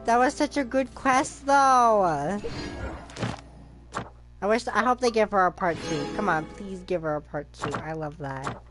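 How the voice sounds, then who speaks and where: A woman giving casual, giggly and expressive reaction commentary while playing a video game. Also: medium pitch, unhurried. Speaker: gaming content creator. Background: game music.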